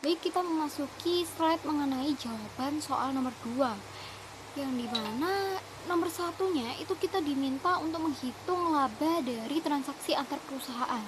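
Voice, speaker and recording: A woman's voice: sits high, normal speed. A young woman giving a class presentation as a university student. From Indonesia. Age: young adult. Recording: clearly noisy recording.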